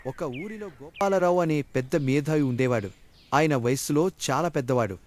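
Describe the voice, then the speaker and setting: Man, storyteller, scripted children's story narration, somewhat high pitch. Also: animated, moderate pace, a little hiss or hum.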